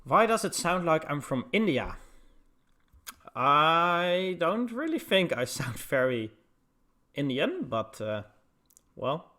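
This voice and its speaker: A man's voice: fairly high pitch, big pitch swings, takes it slowly, pauses often, bright, forceful tone. A man with a Dutch accent, from the Netherlands, casting a live esports match in a loose, chatty way with many filler words. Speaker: esports caster. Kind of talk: casual live stream commentary.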